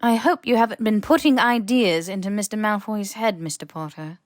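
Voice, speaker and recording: A woman voicing a stern, shrewd older school headmistress in an audiobook, with crisp, formal phrasing and dry exasperation. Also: mid-range voice, moderate pace, dry recording, pitched above the performer's own voice, natural voice. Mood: dry, reproving. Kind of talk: audiobook character voice.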